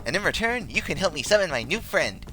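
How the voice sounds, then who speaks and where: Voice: mid-range voice, very animated, fast, noisy background, lightly acted. A voice actor playing a cheerful, unhinged female pony in a cartoon parody, bubbly and gleefully macabre. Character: cheerful, unhinged female pony who dabbles in demons and poison. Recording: music behind the voice.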